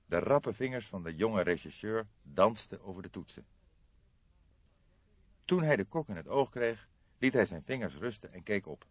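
A man's voice: on the low side, animated, normal speed, long pauses, no echo, dull audio. A man reading scripted literary narration as an audiobook narrator.

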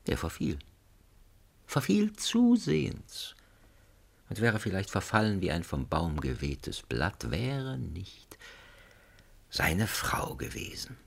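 A man narrating a satirical radio drama in a deliberate, ironic, literary manner. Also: sits low, wildly swooping pitch, vocal fry, heavily acted. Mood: wry, measured. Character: wry male storyteller narrating a satirical tale.

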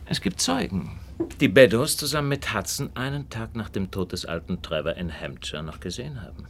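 A man acting a self-assured, keen-minded detective in a radio drama, friendly in a condescending, deliberate way. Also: lowish voice, expressive, a little hiss or hum. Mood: confident deduction.

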